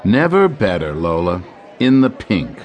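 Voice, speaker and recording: An actor playing a scheming male jazz clarinetist in an audio drama, smooth-talking and sly. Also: low voice, big pitch swings, measured pace, darkish tone, a faint crowd. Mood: sardonic. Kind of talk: radio drama acting.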